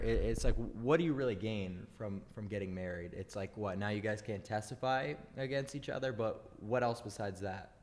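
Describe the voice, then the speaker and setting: A man hosts a casual session and shares his views, pausing often with uhs and you knows. His voice sits somewhat low and carries a long reverb tail. A faint noise runs underneath. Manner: halting, many uh and you know. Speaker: host giving advice. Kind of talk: casual opinion talk.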